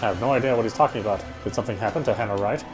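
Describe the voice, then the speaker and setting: A man's voice: lowish voice, rapid-fire, over music. A man reading a horror visual novel aloud as first-person narrator, with a dramatic, tense delivery.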